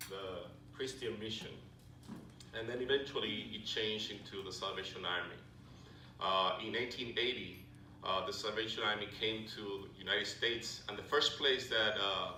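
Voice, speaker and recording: A middle-aged man with a Puerto Rican accent giving a friendly, halting talk to a club, with many fillers and restarts. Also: fairly low pitch, pushed and bright, far from the mic. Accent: Puerto Rican Spanish. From Puerto Rico. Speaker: Salvation Army officer speaking to a club. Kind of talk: informal presentation to a luncheon audience. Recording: echoey hall recorded from afar, background noise.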